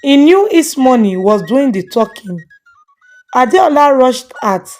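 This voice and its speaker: Casting: female storyteller for scripted folktale narration, higher pitch, light music bed. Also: big pitch swings, a little slow, darkish tone.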